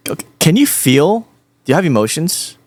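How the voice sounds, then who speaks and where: A man's voice: a little high, big pitch swings, normal speed, darkish tone, no echo. A man chatting casually with an AI voice assistant, rambling and repeating himself as he rephrases his questions. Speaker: host.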